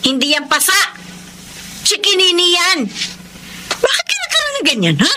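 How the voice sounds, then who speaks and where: An actress with a Filipino accent playing a suspicious, hot-tempered, nagging wife in a radio drama. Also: female voice, extremely high pitch, wildly swooping pitch, normal speed, noisy background, full character voice. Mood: accusing. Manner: nagging, accusatory, interrogating. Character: suspicious, hot-tempered young wife confronting cheating husband.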